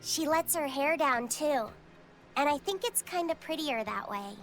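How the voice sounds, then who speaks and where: A woman's voice: high voice, animated, unhurried, fairly bright tone, soft background music. A woman voicing an earnest, innocent young boy, shy and curious, in a video game. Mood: shy, thoughtful.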